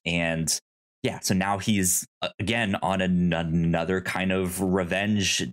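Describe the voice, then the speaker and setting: A man hosting a podcast, casually recapping movies in a halting, stammering way with repeated word starts. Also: sits low, fairly even intonation. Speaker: podcast host.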